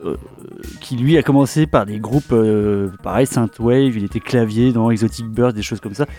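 A man's voice: medium pitch, normal speed, dark tone. A man chatting on a radio music show as a guest, hesitant and full of fillers. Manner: hesitant, lots of fillers and repetitions. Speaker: radio guest, fellow host. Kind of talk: casual radio chat. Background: faint instrumental music.

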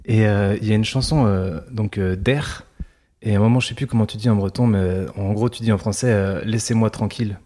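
Male interviewer; low-ish, relaxed tone; searching, stop-start questions. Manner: halting questions with fillers.

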